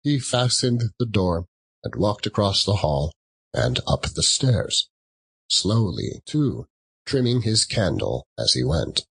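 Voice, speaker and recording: A man narrating a classic story as an audiobook, theatrical, with dramatic and deliberate phrasing. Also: sits low, big pitch swings, creaky, a bit bright, breathy edge.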